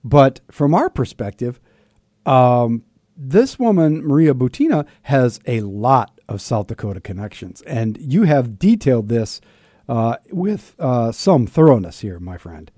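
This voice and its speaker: Man hosting political talk, mellow and halting. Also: mid-range voice, sing-song, dry recording, studio quality. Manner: chummy, wry, halting. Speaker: radio host interviewing a guest. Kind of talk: casual political talk interview.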